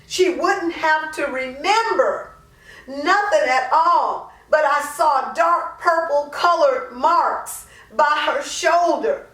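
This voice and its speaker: A woman performing a dramatic monologue as a raw, confessional, crack-addicted mother, in halting, colloquial, emphatic speech. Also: sits high, a bit bright. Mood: pained, regretful. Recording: roomy echo, distant microphone.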